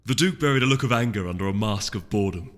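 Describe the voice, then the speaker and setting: A man's voice: fairly low pitch, expressive, fast, a bit bright, long reverb tail. A man reading a story aloud as a scripted, dramatic narration. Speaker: audiobook reader.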